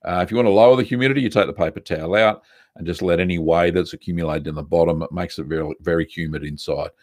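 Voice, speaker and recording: An older man with an Australian accent, from South Australia, hosting a casual live question-and-answer stream from a home studio, chatty and relaxed with plenty of ums and uhs. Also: low voice, fast talker. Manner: relaxed, chatty, many ums and uhs, reads chat aloud. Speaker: livestream host answering viewer questions. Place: home studio room with bookshelves, indoors.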